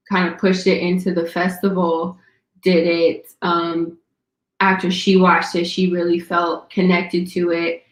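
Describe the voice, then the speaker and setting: A woman speaking as a filmmaker in a conversational panel Q&A, reflective and halting, with a roomy, echoing sound. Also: lowish voice. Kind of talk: conversational Q&A answers.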